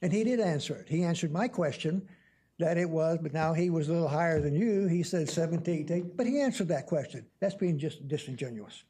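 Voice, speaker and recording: A man with a stutter questioning bluntly and argumentatively as a council member at a public meeting, repeating himself haltingly. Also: a little high, animated, dark tone, slightly rough. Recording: noisy public meeting room recording.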